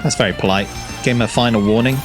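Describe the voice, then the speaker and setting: A man's voice: mid-range voice, fast, over music. A man hosting a casual, rambling reaction to game character trailers. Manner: rambling, easygoing, chuckling.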